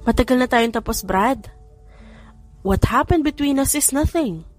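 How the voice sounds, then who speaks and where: A woman's voice: high-pitched, animated, a little slow, faint music behind, pitched above the performer's own voice. A woman voicing a firm, guarded young woman in a romance audiobook. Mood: firm, pained.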